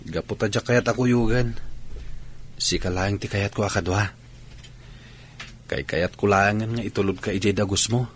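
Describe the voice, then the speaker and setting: A man's voice: on the low side, steady tone, moderate pace, pauses often, slight background noise, lightly acted. A male actor with an Ilocano accent playing a persistent young student suitor in a radio drama. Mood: apologetic, insistent.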